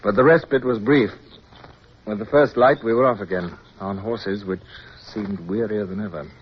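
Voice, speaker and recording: An actor playing a resolute naval officer in a radio drama, commanding yet tender, crisp and formal as he tells of his war. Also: on the low side, normal speed, natural voice. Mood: sombre, tender. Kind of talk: radio drama acting and first-person narration. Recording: muffled, noisy old radio recording.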